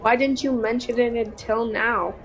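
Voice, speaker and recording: A woman's voice: a little high, fairly even intonation, moderate pace, highs cut off, lightly acted. A young female performer playing a blunt, cheeky adventurer girl in a radio-style serial, with a dry, sceptical manner.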